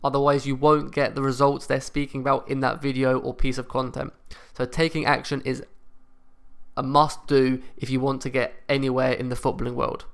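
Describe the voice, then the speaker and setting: A young man hosting a football channel, giving direct, steady motivational advice to camera. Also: medium pitch, normal speed. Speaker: football career coach and channel host.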